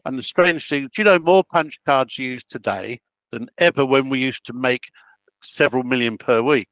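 A man's voice: medium pitch, moderate pace, fairly bright tone, dry recording, lo-fi sound. A man in his eighties with an Australian accent, a guest on an interview podcast, telling long rambling stories about his career with frequent self-interruptions. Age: 80s. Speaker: guest, project planner. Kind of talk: casual interview storytelling.

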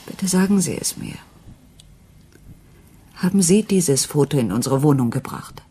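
A woman's voice: low-pitched, animated, a little slow, dark tone, breathy edge, heavily acted. An actress playing an earnest, insistent, troubled wife and mother in a German radio crime play. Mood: pleading. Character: distraught, doubting wife of a jazz musician, a mother.

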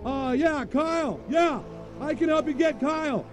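A man's voice: very high voice, measured pace, over music. A man voicing a frantic, greedy boy who babbles and stalls with fillers. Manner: babbling and hesitant, full of ums. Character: greedy, frantic boy hoarding toilet paper.

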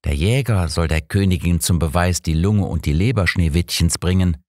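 A man's voice: deep voice, moderate pace, darkish tone, no echo, studio quality, lightly acted. A man voicing a cruel, vengeful grown son who taunts his victim in an audiobook. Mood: cruel, menacing. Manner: taunting, menacing.

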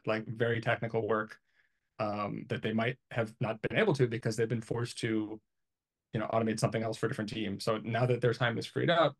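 A man's voice: medium pitch, vocal fry. A man in his thirties explaining things casually in an interview, thinking aloud in a conversational way, on a video call from a small room. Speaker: interviewee, IT engineer. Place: small home office, indoors.

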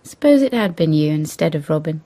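An actress in a radio play as a good-humoured, frank English sister, talking briskly and teasingly. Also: female voice, sits low, expressive, dark tone. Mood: reflective. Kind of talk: radio drama acting.

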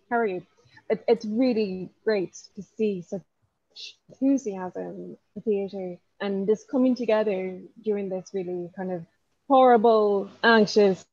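A woman's voice: mid-range voice, dry recording. Young female guest lecturer, casual and hesitant, dry lo-fi webcam audio. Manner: chatty, hesitant, many ums and restarts. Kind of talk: informal introductory talk. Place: dim room at home, lamp behind. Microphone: webcam on video call.

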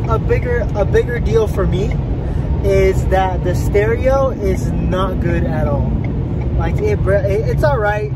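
A young man chatting casually and upbeat about his new truck while driving, with fillers and restarts. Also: high voice. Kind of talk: casual vlog talk. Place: inside a moving truck at night. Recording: road and engine noise.

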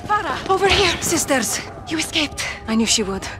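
A woman's voice: high voice, sing-song, moderate pace. An actress voicing an anxious, loyal young woman prisoner turned rebel fighter in a video game, urgent and breathless. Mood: anxious relief. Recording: loud game music and gunfire behind.

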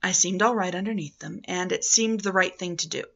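A woman's voice: lowish voice, a little fast, gravelly, a bit bright, dull audio. A woman in her thirties reading a novel aloud as scripted narration, recorded at home on a webcam. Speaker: amateur audiobook reader. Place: living room at home, indoors. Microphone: webcam or laptop at arm's length.

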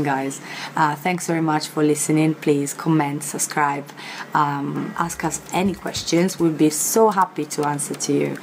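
A young Italian woman with an Italian accent, teaching a vocabulary lesson to camera in a chatty way, filled with pauses and fillers, recorded outdoors on a terrace. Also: low voice, slight background noise. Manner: chatty, many fillers, repeats words slowly. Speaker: language teacher.

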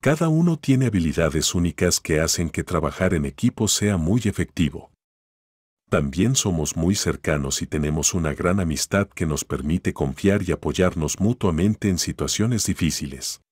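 A man plays a tough cartoon echidna guardian with a low, husky voice. He speaks in simple, friendly phrases, as if on a phone call with a young fan. The sound is close and dry, and he comes across as a loyal hero. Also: expressive, moderate pace, a little mellow, dry recording. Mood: earnest, proud. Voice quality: deep, husky. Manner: friendly, addressing a child listener in simple phrases. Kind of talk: scripted one-sided character phone call.

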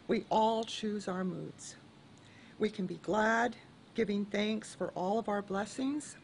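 An older woman reading a short message of encouragement to her parish over video. Her voice sits at an average pitch with a gravelly fry, and she reads gently and steadily. There is faint noise in the background. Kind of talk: read message to congregation.

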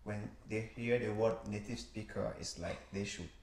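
A man's voice: lowish voice, steady tone, moderate pace, roomy sound, slight background noise. A man with a Cameroonian accent hosting a studio interview, asking probing questions. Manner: questioning, leading the talk. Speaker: show host. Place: small studio living-room set, indoors.

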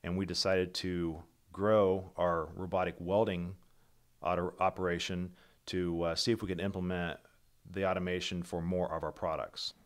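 Low-voiced middle-aged man giving a keynote. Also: moderate pace. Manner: steady, methodical, businesslike, with occasional slips and restarts. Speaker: company founder presenting. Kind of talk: prepared keynote presentation over slides. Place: voice-over for a slide presentation.

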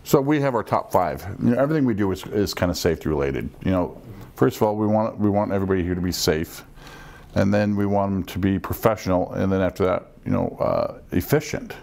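A middle-aged man explaining things as an air traffic controller on a panel, measured and instructive. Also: deep voice, gravelly, darkish tone. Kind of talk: panel discussion, explanatory talk. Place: small meeting room, around a conference table.

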